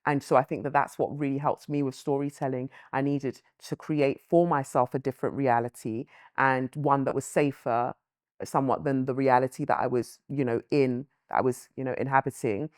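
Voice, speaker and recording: A woman's voice: extremely low pitch, steady tone, darkish tone, dry recording. Thoughtful woman, dry close sound. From born in Nigeria, raised in London. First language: Yoruba. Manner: thoughtful, measured, with frequent "um" and "you know". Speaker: author and guest. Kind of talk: reflective interview answers.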